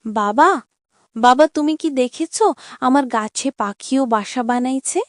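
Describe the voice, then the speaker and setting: A woman's voice: high voice, slow pace, no room sound, clean studio sound. A woman voicing an eager, earnest little schoolboy in a children's cartoon. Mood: excited.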